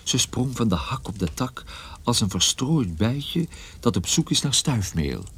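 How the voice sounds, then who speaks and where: A man playing a troubled, wry and guarded private detective in a radio play, with a husky voice and a dry, hesitant manner. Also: medium pitch, sing-song, a little slow, a bit bright, heavily acted. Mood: wry narration. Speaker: lead character and narrator. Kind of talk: radio play narration and dialogue.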